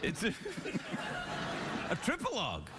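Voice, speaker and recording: A man's voice: high-pitched, huge pitch swings, slow. A man hosting a late-night talk show, rambling and teasing in loose banter. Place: TV studio with live audience. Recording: noisy broadcast with audience sound.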